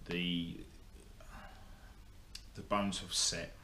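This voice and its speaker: A young man talks straight to a camera in his workshop, blunt and rambling, with plenty of ums and false starts. His voice sits very low and he takes his time. The room rings with echo over a faint hum. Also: little pitch movement, very slow, pushed and bright. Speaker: motorsport vlogger. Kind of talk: casual update to camera. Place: indoor workshop. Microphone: camera in front of him.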